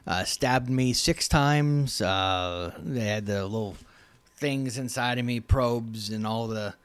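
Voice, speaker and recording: A man co-hosting a relaxed podcast at a mid pitch. He stumbles and restarts often, circling his point before landing a dry joke. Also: smooth. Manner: halting, many restarts and fillers, dry jokes. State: recovering from recent surgery. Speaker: podcast co-host. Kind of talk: casual chat.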